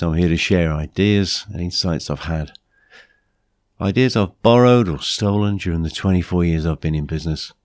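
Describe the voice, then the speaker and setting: A British man hosting a podcast with a blunt, plain-spoken manner, his voice croaky from flu. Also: low voice, darkish tone, no room sound, studio quality. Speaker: podcast host. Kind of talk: podcast monologue, anecdotes and advice.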